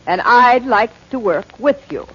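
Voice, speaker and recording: A woman's voice: fairly high pitch, lively intonation, unhurried, fairly bright tone, slightly rough, heavily acted. An actress playing a confident, clever woman amateur sleuth in a 1940s radio drama, poised and coy in manner. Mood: cool, coy. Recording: muffled, noisy 1940s radio recording.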